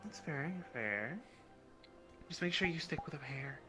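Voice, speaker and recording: Guy playing a calm know-it-all guide in a fantasy rp, measured, medium pitch, music going behind him. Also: lightly acted. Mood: reassuring. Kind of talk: improvised role-play chat. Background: background music.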